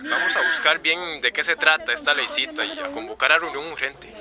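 A man's voice: a little high, fairly quick, close to an everyday voice. Casting: male actor as worried campaigner, earnest, raised register, radio drama, city crowd in background. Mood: worried, urgent. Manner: anxious, earnest. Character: worried city migrant-aid activist, a man.

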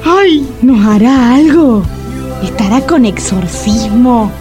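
High, drawling, fussy Argentine actress as a woman. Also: takes it slowly, over music. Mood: sarcastic, complaining. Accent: Argentine, Buenos Aires. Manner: exclaiming, drawling, complaining. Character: fussy, sarcastic, easily disgusted woman on a date. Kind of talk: comedic audio drama acting.

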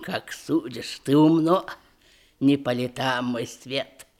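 An actress playing a stingy, judgmental middle-aged aunt in a Russian radio comedy in verse, speaking deliberately and theatrically. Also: female voice, a bass voice, a little mellow. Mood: approving, censorious.

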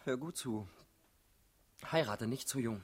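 An actor playing a high-strung, indignant young idealist in a German radio drama, nervy and theatrical. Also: male voice, measured pace, breathy edge. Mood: gloomy, wry.

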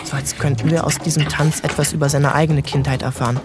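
A woman narrating a literary audiobook in a calm, measured storytelling manner. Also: sits low. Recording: loud music behind the voice.

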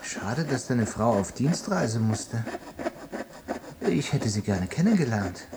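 A man's voice: medium pitch, very animated, takes it slowly, heavy background noise. A man acting a chummy, earnest colleague who pries insinuatingly in a radio play.

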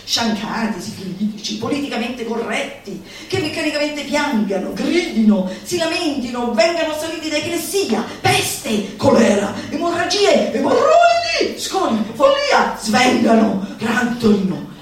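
An actress performing a manic female stage orator, high-voiced and declamatory. She stretches key words for emphasis, heard from far off in a very echoey space. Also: sing-song, unhurried, few pauses. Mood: exalted, gleeful. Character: manic, theatrical female futurist orator exalting laughter at suffering. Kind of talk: theatrical comic monologue before an audience. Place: roomy performance space, recorded from a distance. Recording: echoey hall, far mic, faint noise.

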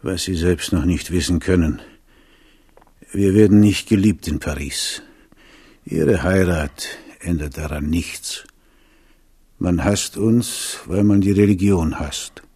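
A deep, husky, grave admiral. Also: male voice, slow, dark tone, dry recording, heavily acted. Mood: grave warning. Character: grave, wary Huguenot admiral warning his prince. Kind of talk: German radio drama acting.

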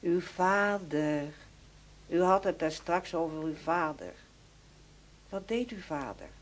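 An actress playing a prim, inquisitive woman in a radio play, husky-voiced and insistently repeating her questions. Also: on the low side, lively intonation, slow. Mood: insistent, prying. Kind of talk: radio drama acting.

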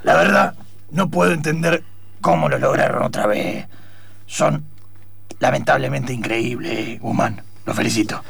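A man plays a weary, scolding police boss in improvised radio comedy. The voice is husky and gravelly, with a gruff, exasperated delivery. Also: mid-range voice, measured pace, fairly bright tone, voice pushed down, full character voice. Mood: grudging praise. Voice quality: raspy, creaky.

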